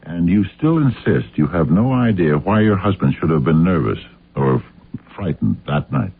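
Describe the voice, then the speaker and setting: An actor playing a hard-nosed, relentless investigator in a radio drama, questioning in a probing, accusatory, clipped way. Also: male voice, low voice, sing-song, normal speed, vocal fry, slightly rough, heavily acted. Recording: muffled narrow-band old radio sound.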